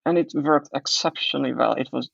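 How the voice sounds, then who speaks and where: A man's voice: medium pitch, fairly quick, gravelly, fairly bright tone, no room sound. A young man with a Hungarian accent, telling his story thoughtfully as an interview guest and often restarting his sentences. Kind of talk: conversational interview answer. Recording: muffled dry audio.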